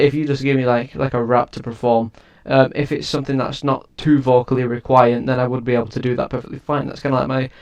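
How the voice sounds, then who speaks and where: A man giving a casual spoken review of an album, rambling and often restarting his sentences. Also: medium pitch. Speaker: music reviewer and producer.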